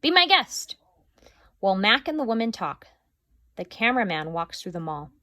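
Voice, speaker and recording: A woman teacher reading a chapter book aloud to her class, with expressive, lively storytelling. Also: mid-range voice, fairly quick, dry recording, studio quality.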